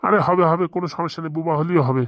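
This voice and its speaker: A man plays a bossy village gentleman itching to get married in a comic cartoon. He talks fast and folksy, rambling along in dialect. The sound is close and dry. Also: on the high side, no room sound. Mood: insistent. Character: eager marriage-hungry village gentleman, bossy boss to two helpers. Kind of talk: comic Bengali cartoon dialogue.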